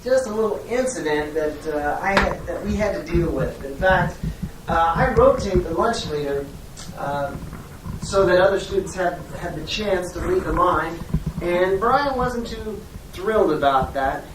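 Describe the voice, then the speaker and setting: Diplomatic schoolteacher, role-played, careful and halting delivery, mid pitch, recorded at a distance in an echoey room. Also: animated, lightly acted. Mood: careful, firm. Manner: hesitant, measured, diplomatic, frequent pauses. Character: polite, diplomatic schoolteacher phoning a parent. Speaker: teacher. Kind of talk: role-played phone call demonstration. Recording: echoey room, distant mic, faint noise.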